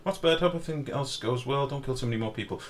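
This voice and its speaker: A man with a deep, booming voice giving casual, sweary live game commentary as a streamer and chatting with viewers. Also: rapid-fire. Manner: informal, sweary, reads and answers chat. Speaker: game livestreamer. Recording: clear background noise.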